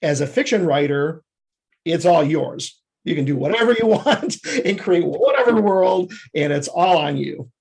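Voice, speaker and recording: A man's voice: fairly high pitch, lively intonation, moderate pace, vocal fry, a little mellow, husky. A man talking casually as a screenwriter and director guest on a podcast, often chuckling as he speaks.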